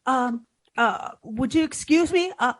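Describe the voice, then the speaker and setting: A woman's voice: high-pitched, measured pace. A woman playing a naive, scatterbrained young woman with guileless earnestness in a 1940s-style radio comedy. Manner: guileless, dim-witted earnestness.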